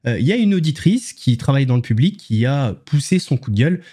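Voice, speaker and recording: A man's voice: mid-range voice, sing-song, quick pace, dark tone, husky. A man hosting an online commentary show, mocking his subjects with ironic asides. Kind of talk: sarcastic news commentary.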